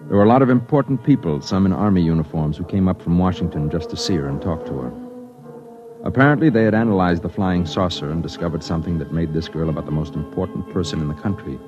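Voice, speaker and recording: A persistent newspaper reporter tells the story plainly and pushes for access. His voice sits low. Music plays clearly under him on an old radio broadcast. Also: lively intonation, fast, dull audio. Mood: narrating. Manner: plain, matter-of-fact storytelling. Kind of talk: 1950s radio drama narration.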